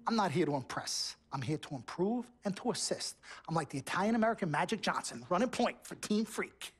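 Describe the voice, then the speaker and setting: An actor playing a brash, boastful New York sports agent with a husky voice in a video game cutscene. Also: male voice, sits high, lively intonation, brisk, a little hiss or hum. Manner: boastful, hustling salesman patter.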